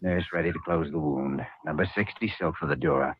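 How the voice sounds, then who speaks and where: An actor playing a calm, authoritative surgeon in an old-time radio drama. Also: male voice, rumbling low, brisk. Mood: clipped, focused. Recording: muffled, noisy old radio recording.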